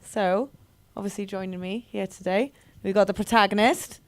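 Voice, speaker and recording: A Welsh journalist hosts a festival Q&A in a chatty, teasing way, giggling between questions. Her voice sits at an ordinary pitch and is recorded close and dry. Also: steady tone, normal speed, dry recording. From from just outside Cardiff. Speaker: journalist, Q&A host. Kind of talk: hosting a festival Q&A. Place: cinema Q&A stage.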